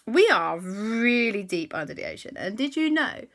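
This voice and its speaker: A woman's voice: medium pitch, sing-song, moderate pace, gravelly, a bit bright, voice pushed up. A woman voicing a giant talking frog who eagerly explains things to the children in a bedtime story. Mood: explaining. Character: chatty know-it-all giant talking frog, male.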